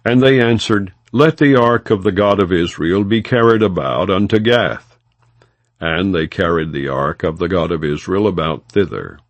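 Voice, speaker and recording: A man narrating scripture as an audiobook, reading from a script in a measured, formal, non-dramatized way. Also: low-pitched, dry recording.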